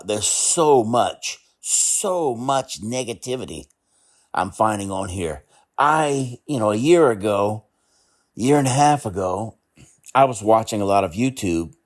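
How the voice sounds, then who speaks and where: A man casually commenting on video titles he reads aloud, with exasperated, drawn-out asides. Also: lowish voice. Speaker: vlogger.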